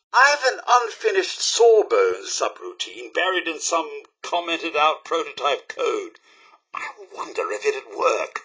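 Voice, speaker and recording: Genteel British robot butler, fussy and wistful game companion, high-set voice with a husky edge. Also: male voice, sharp tone, full character voice. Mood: curious, chipper. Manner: genteel, theatrical, old-fashioned politeness. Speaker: companion character in a video game. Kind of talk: scripted game voice lines.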